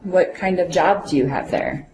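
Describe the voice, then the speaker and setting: A woman playing an interviewing officer, asking brisk, polite questions. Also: fairly low pitch, music in the background, rough recording. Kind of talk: scripted role-play.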